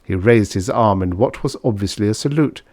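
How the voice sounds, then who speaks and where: Male audiobook narrator, low pitch, very dry sound, scripted science fiction storytelling. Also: brisk, a little mellow, dry recording.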